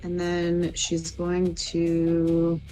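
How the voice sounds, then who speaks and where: A woman's voice: fairly low pitch, completely flat delivery, very slow, mellow, faint music behind, rough recording. A woman casually describing what her character does as a player in a tabletop roleplaying game.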